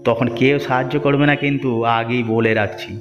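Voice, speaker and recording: A man acts a boss's lackey in a cartoon fable, quick to flatter and to sneer. The voice sits at an ordinary male pitch. It rings in long reverb, slightly dull, over faint music. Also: natural voice. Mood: mocking threat. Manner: sneering, scolding, obsequious to his boss. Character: mocking, flattering male henchman of village headman. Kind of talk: cartoon moral-story voice acting. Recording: muffled, echoing hall-like reverb, music behind.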